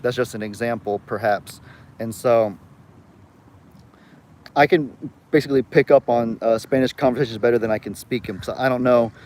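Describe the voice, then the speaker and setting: Young man, light Southern US drawl, mid-pitched, chatty livestream host who rambles and reads viewer comments aloud. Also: fairly even intonation, moderate pace, long pauses, darkish tone, slight background noise. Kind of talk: casual live chat.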